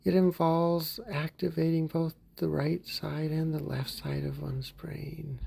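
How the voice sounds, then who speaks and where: Slow gravelly male meditation guide. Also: a little high. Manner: calm, gentle, unhurried, slips into soft whispers. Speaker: therapy group leader.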